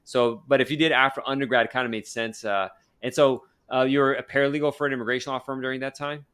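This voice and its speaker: A man hosting a podcast, racing through his words at a brisk clip. He rambles a little, doubling back with fillers and restarts as he chats. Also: medium pitch, fairly even intonation, a bit bright, clean tone. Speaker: podcast host. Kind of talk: casual interview and promo.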